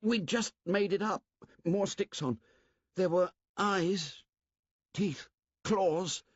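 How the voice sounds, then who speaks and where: A man voicing a frightened schoolboy twin who tells his tale urgently and excitedly. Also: high voice, slow pace, breathy edge, dry recording, lo-fi sound, pitched above the performer's own voice, full character voice.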